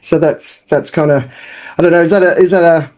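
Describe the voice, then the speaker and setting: A woman with an Australian accent, a founder speaking as a podcast guest in a frank, lively, conversational way. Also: deep voice, a little fast. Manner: lively and frank, with frequent restarts and fillers. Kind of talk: casual interview talk.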